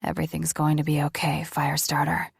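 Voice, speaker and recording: A woman plays a wounded young male fighter with a low, gravelly, harsh voice. He sounds tough and protective, in a close, dry sound. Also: flat delivery, a little fast, dry recording, exaggerated voice.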